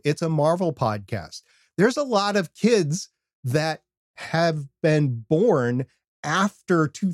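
An older man with a North American accent talks as a podcast co-host. His delivery is casual and animated, wandering into self-deprecating asides. The sound is dry, with very little room on the voice. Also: on the high side, no echo. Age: older, over 50. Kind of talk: casual discussion with examples.

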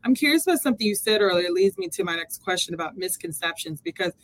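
A woman's voice: lowish voice, animated, fast talker, low-quality recording. A woman from Texas hosting an interview, curious and asking long winding questions. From Texas, USA. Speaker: podcast host. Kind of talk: casual interview talk.

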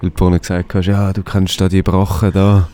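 A man's voice: sits low, completely flat delivery, a little fast, dark tone. A man, chatting casually in a rambling way with many restarts. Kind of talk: casual conversation.

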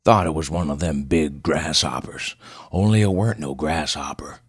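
Casting: male narrator as elderly hillbilly, very low gruff voice, halting and folksy, wary, audiobook character, dry sound. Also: no echo. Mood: confiding. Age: 70s. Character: gruff old backwoods mountain man, 74, rambling and suspicious.

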